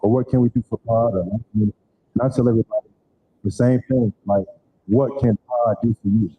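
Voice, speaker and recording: A man's voice: medium pitch, steady tone, a little fast, breathy edge, lo-fi sound. A man giving loose, repetitive closing remarks as organizer of a community event in a church hall.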